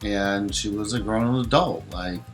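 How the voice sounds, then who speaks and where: A man's voice: lowish voice, measured pace. A man in his forties chats casually as a co-host, with dry, teasing comments and clarifications. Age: 40s. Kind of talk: casual chat. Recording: music behind the voice.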